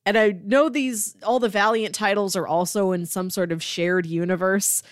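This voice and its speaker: A woman's voice: medium pitch, steady tone, moderate pace, no room sound, clean studio sound. A woman co-hosting a casual pop culture podcast, chatty and emphatic, repeating phrases for effect. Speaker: podcast co-host.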